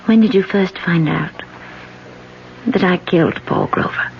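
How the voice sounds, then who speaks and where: Brief: conflicted woman politician, soft-spoken, lo-fi 1970s radio drama. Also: fairly low pitch, sing-song, unhurried, creaky, slight background noise, heavily acted. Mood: anxious. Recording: dull lo-fi 1970s radio recording.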